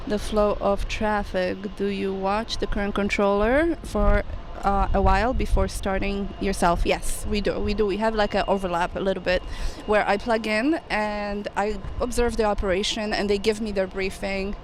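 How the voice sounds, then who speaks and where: A woman's voice: medium pitch, level tone, normal speed, few pauses, poor audio. A woman air traffic controller chatting on a livestream, friendly, laughing often and pronouncing every word carefully. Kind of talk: casual livestream chat. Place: outdoors near an airport.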